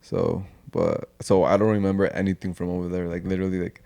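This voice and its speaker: A man's voice: sits low, level tone, fast, vocal fry, dark tone. A young man from Mexico, a podcast guest chatting casually, laid-back, filling his talk with "like" and "man" and slipping into Spanish now and then. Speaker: podcast guest, social media creator. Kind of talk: casual chat.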